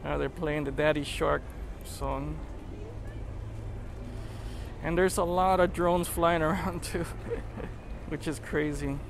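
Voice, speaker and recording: A man chatting excitedly as he narrates his drone flight outdoors, marveling at what he sees. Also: on the high side, takes it slowly, creaky, pauses often, background noise. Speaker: drone videographer. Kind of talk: casual live narration while flying a drone.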